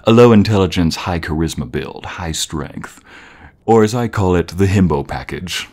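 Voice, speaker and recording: Low-voiced, playful boyfriend, acted by a man. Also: lively intonation, moderate pace, a little mellow. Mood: cheerful, explaining. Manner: playful, teasing, chuckling, rambling. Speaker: audio roleplay narrator. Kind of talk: casual, intimate boyfriend roleplay.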